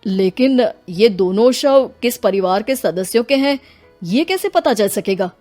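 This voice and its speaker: A woman playing a calm, authoritative senior police officer in an acted story. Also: on the high side, measured pace, soft background music. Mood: calm, official. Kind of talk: acted Hindi audio story dialogue.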